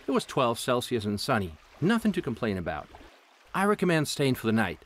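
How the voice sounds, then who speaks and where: Lively male travel narrator. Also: mid-range voice, a little fast, a little hiss or hum.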